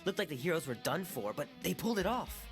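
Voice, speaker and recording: A nerdy young teen boy in a video game. His pitch moves around in a lively way as he mumbles casually, with loud music over his voice. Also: fast. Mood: musing. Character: nerdy, easygoing thirteen-year-old boy, fond of rock. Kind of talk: naturalistic video game acting.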